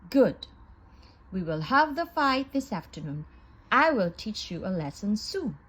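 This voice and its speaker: A woman's voice: mid-range voice, sing-song, measured pace, a bit bright, slightly rough, exaggerated voice. A woman voicing a proud, bullying male rooster who speaks fiercely and bossily in a read-aloud storybook. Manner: fierce, bossy.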